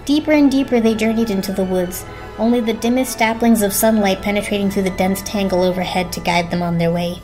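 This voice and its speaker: A woman's voice: medium pitch, fairly quick, a little mellow. Female narrator for a storybook dramatic reading, mid-range voice, faint music underneath. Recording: music behind the voice.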